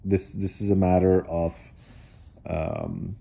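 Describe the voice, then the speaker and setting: A man reading a children's storybook aloud in an animated style, breaking off for wry, casual asides. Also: low-pitched, slow, vocal fry. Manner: wry, digressive commentary. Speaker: storybook reader.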